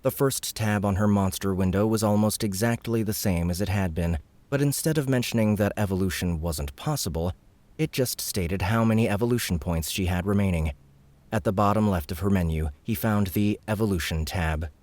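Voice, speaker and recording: A man with a very deep voice narrates a fantasy audiobook. His telling is steady and measured. The sound is dry and close. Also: dry recording.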